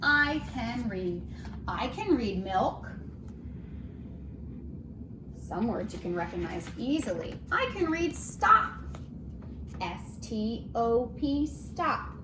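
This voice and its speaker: A woman's voice: on the high side, very animated, slow, long pauses. A woman teaching early reading to young children, speaking in a sing-song way, asking questions and sounding out letters and words. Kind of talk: phonics lesson for young children, read-aloud. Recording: roomy echo, clear background noise.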